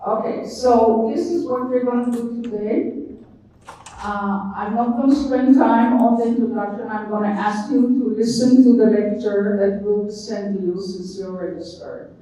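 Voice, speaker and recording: A woman's voice: mid-range voice, steady tone, moderate pace, mellow. An older woman with an Israeli accent gives a loose, chatty university lecture, with many "uh" and "so" restarts. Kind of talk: university lecture to a live audience. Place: large echoey lecture room. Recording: echoey room from far off.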